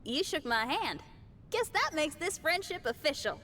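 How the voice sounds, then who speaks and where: A woman's voice: sits high, very animated, normal speed, pushed and bright, a little hiss or hum, exaggerated voice. A woman playing a friendly, easygoing young musician who is encouraging, in a video game. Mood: upbeat.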